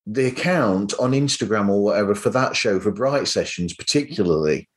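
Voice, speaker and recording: A man's voice: on the low side, expressive, normal speed. A man talking casually as a podcast host, in a rambling, anecdotal way.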